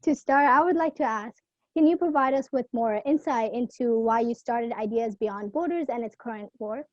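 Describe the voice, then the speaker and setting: A woman's voice: on the high side, normal speed, no room sound. A young woman interviewer asking prepared questions on a video call, careful and polite as she reads from her notes. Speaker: student interviewer. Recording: dull lo-fi video call audio.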